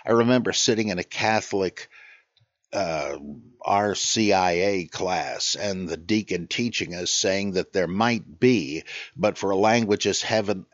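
An older man hosting a podcast, reading listener questions and answering them in a musing, halting way, full of "uh"s and self-corrections. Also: lowish voice, dry recording. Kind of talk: casual spoken Q&A on biblical scholarship. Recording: dull, lo-fi dry recording.

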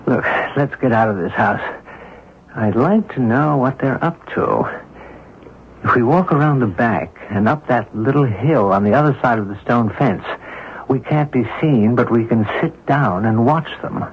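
Genteel, inquisitive sleuth, muffled radio recording. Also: male voice, mid-range voice, animated, a little slow. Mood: intrigued, explaining. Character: Andrew, genteel, inquisitive amateur sleuth and gourmet. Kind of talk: 1970s radio drama acting. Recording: muffled, noisy old radio broadcast recording.

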